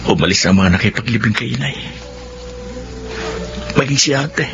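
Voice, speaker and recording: A man's voice: mid-range voice, animated, moderate pace, gravelly, dull audio, loud music behind the voice. A man playing a resentful, wayward young son with a Filipino accent in a radio drama. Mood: remorseful, sorrowful.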